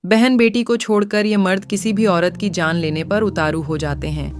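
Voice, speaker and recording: Older village mother, female actor, worried and protective, mid-pitched, dry close cartoon dialogue. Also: fairly even intonation, normal speed, no echo, close to an everyday voice. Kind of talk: Hindi cartoon story acting. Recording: faint music behind.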